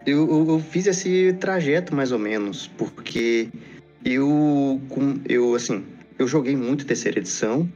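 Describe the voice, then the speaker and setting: A man with a Brazilian accent in casual podcast chat, speaking haltingly and repeating words as he searches. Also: fairly high pitch, darkish tone. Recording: slightly dull lo-fi audio. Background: faint music.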